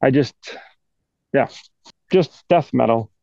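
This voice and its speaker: A man's voice: mid-range voice, slow pace, creaky, mellow, dry recording, muffled recording. A man from Sweden with a Swedish accent chats casually as an interview guest, in a hesitant, self-deprecating way. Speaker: guest, death metal guitarist.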